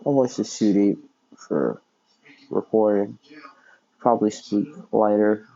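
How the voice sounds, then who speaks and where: Deep-voiced young man rambling a fan story. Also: steady tone, slow pace, vocal fry, dark tone, lo-fi sound. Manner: rambling, self-correcting, many fillers. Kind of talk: casual unscripted storytelling.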